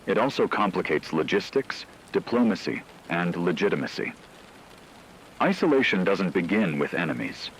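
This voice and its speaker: A man playing a grave radio anchor, delivering a scripted emergency broadcast in a measured, formal manner. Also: low voice, sing-song, a little hiss or hum, poor audio.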